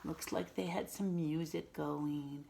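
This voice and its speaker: A woman teacher reading a picture book aloud to young children, pausing to explain words and ask simple questions in an instructive way. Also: sits low, fairly even intonation, creaky, dark tone, slight background noise.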